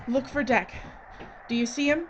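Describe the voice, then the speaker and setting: An actress playing a determined, remorseful filmmaker in danger in an audio drama. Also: female voice, sits high, normal speed, natural voice. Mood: hurried. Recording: dull audio, faint background noise.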